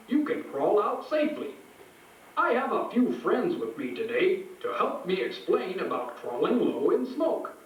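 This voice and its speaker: A man voicing a friendly, expressive puppet teacher who instructs children in a scripted show. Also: high voice, lo-fi sound. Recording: echoey room, distant, faint noise.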